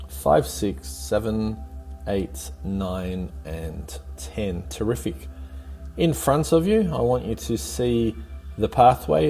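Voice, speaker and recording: A man leading a guided meditation, giving calm, gently encouraging, unhurried instructions in a soothing way. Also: fairly low pitch, creaky.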